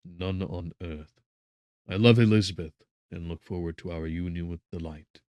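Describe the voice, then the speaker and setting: A man voicing a tormented, guilt-ridden young gentleman in an audiobook, earnest, devoted and gravely impassioned. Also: sits low, fairly even intonation, normal speed, no echo, studio quality, natural voice. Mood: fervent resolve.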